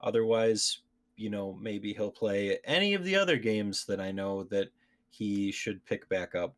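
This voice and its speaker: A man's voice: on the low side, big pitch swings, unhurried. A man hosting a casual gaming podcast, chatting informally with his co-hosts. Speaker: podcast host.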